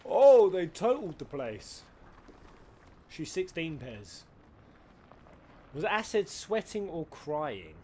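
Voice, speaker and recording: A man giving casual, excitable live commentary on game cutscenes, thinking aloud in questions. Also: a little high, takes it slowly, long pauses, a little hiss or hum. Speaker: host reacting to video game cutscenes.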